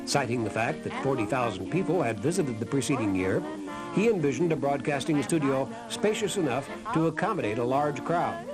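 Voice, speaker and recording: A man's voice: mid-range voice, lively intonation, brisk, poor audio. A man reading a scripted historical documentary narration. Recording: narration over background music. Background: music.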